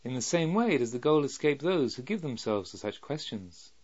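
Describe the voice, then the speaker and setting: Man as a calm, wise spiritual master. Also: medium pitch, moderate pace, breathy edge, no echo, lo-fi sound. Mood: gently instructive.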